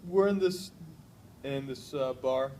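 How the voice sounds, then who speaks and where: Animated man telling a story. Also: mid-range voice, slow pace, a little hiss or hum. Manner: animated, acts out quoted voices, restarts often. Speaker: storyteller in group chat. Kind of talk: casual storytelling among friends.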